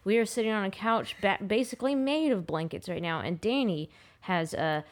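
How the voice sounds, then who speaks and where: A woman's voice: mid-range voice, normal speed. A woman co-hosting a podcast in casual chat, playful and teasing. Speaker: podcast co-host.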